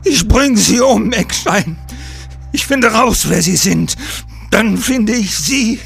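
Man as an anguished gravelly detective. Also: high voice, expressive, slow pace, a bit bright, faint music behind, full character voice. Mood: vengeful, bitter. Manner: agitated, emotional. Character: anguished male senior detective, desperate, vengeful family father. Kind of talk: audio drama acting.

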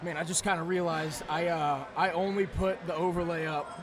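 A young man with a hoarse voice hosts a casual podcast at a rapid clip. He is excitable and slangy. A busy venue crowd surrounds his high-pitched voice. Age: young adult. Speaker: podcast host. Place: indoor event venue, at a table. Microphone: podcast microphone on boom arm.

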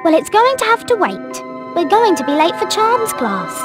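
A woman playing a bossy, bookish young schoolgirl in a video game, with a British accent and a brisk, precise, know-it-all manner. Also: very high voice, big pitch swings, over music. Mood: exasperated.

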